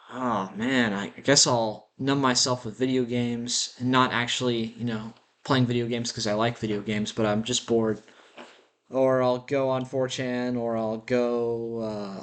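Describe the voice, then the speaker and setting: A man with a slight lisp rambling through a personal audio journal in a musing, self-deprecating way, recorded on a built-in laptop mic while walking around a house. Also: mid-range voice. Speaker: vlogger. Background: dogs' claws clicking.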